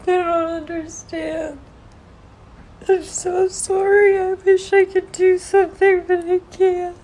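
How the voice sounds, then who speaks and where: A woman apologizing slowly and tearfully, crying as she speaks. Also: very high voice, darkish tone.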